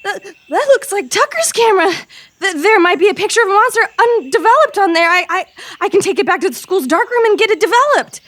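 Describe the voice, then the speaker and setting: A woman's voice: extremely high pitch, very animated, a little fast, a bit bright, dry recording, exaggerated voice. A woman acting an earnest, nervy teenage girl reporter in an audio drama, stammering and pleading. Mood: shaken, determined.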